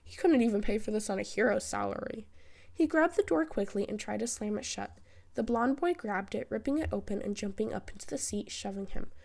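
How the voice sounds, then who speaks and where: A woman reading fan fiction as a narrator, in an expressive, wry storytelling style. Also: mid-range voice, brisk, vocal fry. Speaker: podfic narrator.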